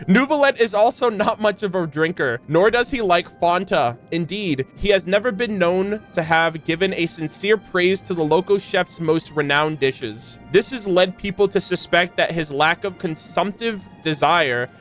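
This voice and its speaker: A young man streaming in a playful, expressive, slangy manner, switching between reading aloud and casual reaction commentary. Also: high voice, normal speed, hardly any pauses, faint music behind. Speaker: streamer reacting to game lore.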